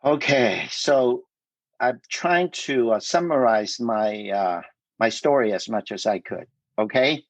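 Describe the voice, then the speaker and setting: A middle-aged man from Vietnam whose first language is Cantonese, telling his life story in a casual interview, halting with many fillers and restarts. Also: mid-range voice, lively intonation, no room sound, poor audio. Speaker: guest.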